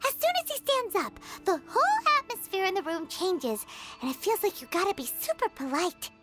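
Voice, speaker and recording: Casting: tiny game fairy, extremely high childlike voice, wildly animated, cheeky and chatty. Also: female voice, normal speed, exaggerated voice. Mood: chatty, excited. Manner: refers to self in third person, exclamatory. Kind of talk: video game character acting. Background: music.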